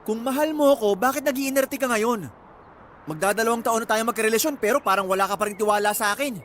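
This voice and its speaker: An actor playing a pushy, sweet-talking young Filipino college boyfriend in a radio drama, coaxing and insistent. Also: male voice, extremely high pitch, quick pace, fairly bright tone, slight background noise. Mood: sulky, annoyed. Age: young adult. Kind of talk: Tagalog radio drama acting.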